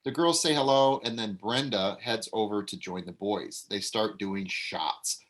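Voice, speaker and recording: A man's voice: on the low side, unhurried. A man reading the stage directions and giving notes to the actors at a casual table read. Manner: relaxed, casual, with fillers and self-corrections.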